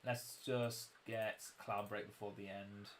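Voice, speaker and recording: A young man commentating casually on his own game livestream, his voice croaky, chatty and self-mocking as he explains the mechanics. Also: lowish voice, little pitch movement, slow, slight background noise. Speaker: game streamer.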